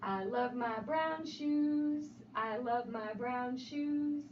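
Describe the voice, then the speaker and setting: Lady doing a kids storytime read aloud, high slow singsong voice, kinda far from the mic and echoey. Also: faint music behind. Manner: sing-song, repeating refrains and asking questions. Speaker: storybook reader. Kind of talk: animated children's read-aloud. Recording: roomy, distant, dull sound with faint noise.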